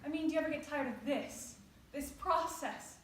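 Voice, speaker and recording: Wistful, weary lover played by a woman, high register, earnest staged reading, faint noise. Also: normal speed, natural voice. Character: weary, loving reincarnated soulmate, woman, wistful and dreamy. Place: indoor stage. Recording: very echoey room, faint noise.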